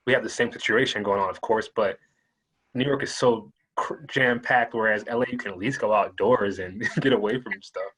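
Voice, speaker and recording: A man hosting an interview show, opening with upbeat, loud introductions and then asking questions in an easy conversational way. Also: lowish voice, moderate pace, slightly rough, rough recording.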